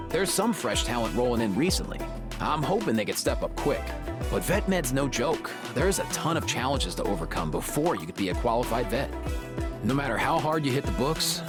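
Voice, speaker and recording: A laid-back young vet, acted by a man. He talks in friendly, slangy dude speak for a game, his mid-range voice swinging freely over clear background music. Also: mid-range voice, natural voice. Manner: breezy surfer-dude slang. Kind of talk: video game voice acting.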